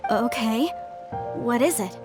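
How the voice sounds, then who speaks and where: Earnest young archaeologist. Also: female voice, on the high side, slow pace. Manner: earnest, principled. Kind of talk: video game voice acting. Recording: game audio with faint music.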